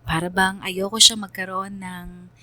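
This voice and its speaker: A woman's voice: medium pitch, little pitch movement, unhurried, sharp tone, no echo. A woman playing a reflective, loyal young woman who narrates her story in a radio drama, earnest and confessional. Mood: wistful.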